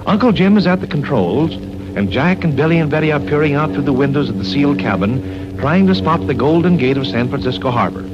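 A man's voice: fairly high pitch, expressive, brisk, heavy background noise. A man announcing and narrating in an emphatic, polished 1940s radio style. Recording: dull lo-fi 1940s radio recording.

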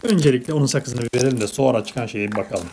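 A woman's voice: extremely low pitch, fast talker, background noise. A woman narrating a casual toy unboxing for children, as a parent chatting with a child indoors at a table.

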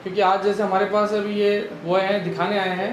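A man in his thirties, a physiotherapist, calmly explaining exercises step by step in a clinic room, on a lapel mic. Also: high voice, a little fast, over music. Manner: calm, instructive, explains step by step.

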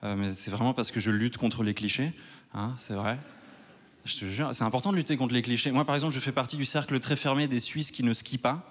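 A man in his thirties from Switzerland doing a stand-up set on a large theater stage with a handheld mic. He talks in a wry, self-mocking way and works up to loud mock outrage. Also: medium pitch, fairly even intonation, a little fast. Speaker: stand-up comedian. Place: large theater stage before a live audience.

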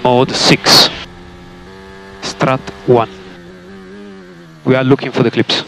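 A man's voice: medium pitch, flat delivery, takes it slowly, pushed and bright. A male race engineer speaking over team radio in terse, clipped instructions, often repeating them. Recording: noisy motorsport team radio, car noise.

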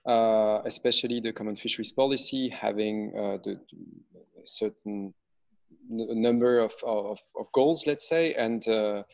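A man giving his thesis defence presentation over a video call, halting with many fillers, his voice going hoarse. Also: lowish voice, highs cut off. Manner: hesitant, many fillers and restarts. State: losing his voice. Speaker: PhD candidate.

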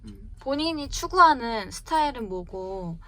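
A woman's voice: on the high side, lively intonation, measured pace, bright, forceful tone. A woman asking questions politely in an informal interview. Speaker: interviewer reading viewer questions. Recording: faint background ambient noise.

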